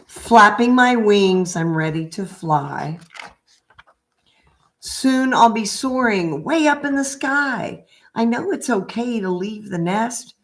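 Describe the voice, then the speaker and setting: A middle-aged woman in a gentle read-aloud style with casual, chatty asides and a halting, unhurried manner. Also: mid-range voice, animated. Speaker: spiritual healer reading a picture book aloud.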